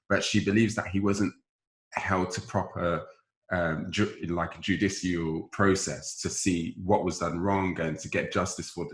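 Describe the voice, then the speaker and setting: A man hosting a casual group discussion, putting probing questions in a halting way and rephrasing as he goes. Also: sits low, fairly bright tone. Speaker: host of a discussion show. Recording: roomy, echoing voice pickup.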